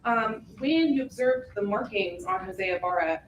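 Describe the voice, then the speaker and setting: A woman's voice: medium pitch, moderate pace, roomy sound, lo-fi sound, a little hiss or hum. A woman defense attorney cross-examining a witness in a courtroom with pointed leading questions.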